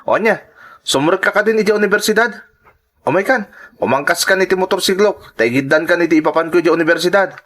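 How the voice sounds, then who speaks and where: A man's voice: high voice, quick pace, a bit bright. A man playing a brash, pleading young brother in an audio drama, with an Ilocano accent. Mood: brash, teasing.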